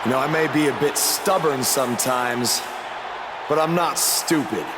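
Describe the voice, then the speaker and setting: A man playing a defiant underdog wrestling champion giving a challenging promo in a video game, amid a loud arena. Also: mid-range voice, close to an everyday voice. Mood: smug defiance. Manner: deliberate, challenging. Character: defiant underdog male pro wrestling champion seeking revenge. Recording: loud arena crowd and noise.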